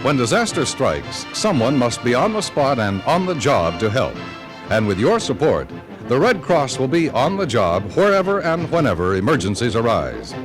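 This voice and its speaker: A man's voice: medium pitch, big pitch swings, normal speed. An American man announcing formally in a polished, scripted 1950s radio style. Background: music.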